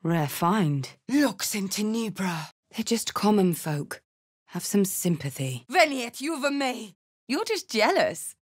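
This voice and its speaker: A woman's voice: mid-range voice, very animated, slow, breathy edge, heavily acted. Brash tough tiefling barbarian lady, blunt n punchy, big hearted, fantasy video game lines. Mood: intense incantation. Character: brash, big-hearted tiefling barbarian woman, battle-hardened hell escapee.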